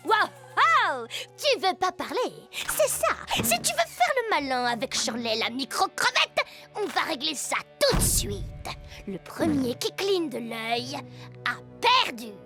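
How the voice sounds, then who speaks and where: Very high-pitched, feisty cartoon girl. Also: big pitch swings, a little slow, bright, forceful tone, faint music behind, heavily acted. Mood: taunting. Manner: brash, exclamatory, teasing. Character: feisty, excitable young girl cartoon character. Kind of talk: animated cartoon voice acting.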